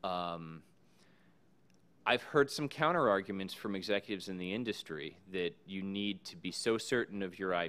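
Male panelist, forties, lowish voice, measured and thoughtful, podium mic in a meeting room. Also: a bit bright, smooth. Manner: measured, thoughtful, with frequent ums. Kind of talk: panel discussion remarks. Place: conference room. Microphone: podium microphone.

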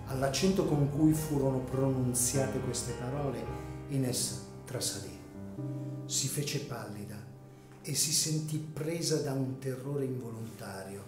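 An echoey recording with music under a male narrator. He tells a dramatic story at a mid pitch, in a measured and solemn literary style.

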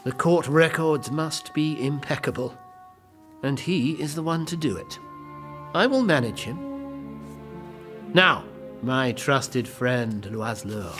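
A man playing a scheming, authoritarian bishop in an audio drama, imperious and smoothly formal. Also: medium pitch, animated, slow, long pauses, a bit bright, faint music behind. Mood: controlling. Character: scheming, authoritarian male bishop and trial judge.

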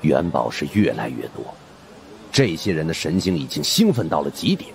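A man's voice: a bass voice, big pitch swings, moderate pace, vocal fry. A man narrating a story as a dramatic scripted audiobook in the first person. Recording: faint background noise.

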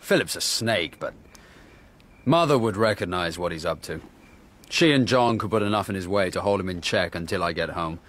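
An actor playing a forceful, stubborn warrior king in a radio drama, blunt and commanding. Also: sits low, animated, moderate pace. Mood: grimly dismissive.

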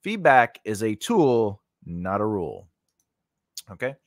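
A man's voice: lowish voice, very animated, takes it slowly, no echo. A man in his forties giving an informal, emphatic tutorial into a close studio microphone, repeating key points and checking in with short questions. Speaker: host of a screenwriting tutorial channel. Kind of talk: informal instructional talk to camera, partly read from notes. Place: indoor home studio. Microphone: close studio condenser microphone on a mount.

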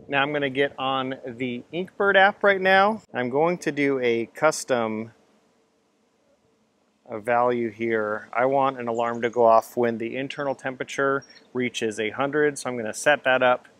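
A man in his thirties hosting a home grilling vlog, upbeat and hyped, who breaks into loud whoops. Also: medium pitch. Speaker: grilling channel host. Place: home kitchen and backyard.

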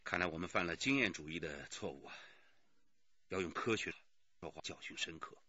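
A grave detective in a radio crime drama. His voice is deep and husky with hard, forceful emphasis. He sounds sober and close in a dry studio. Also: brisk, no room sound, muffled recording, full character voice. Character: sober male police detective reasoning out a case.

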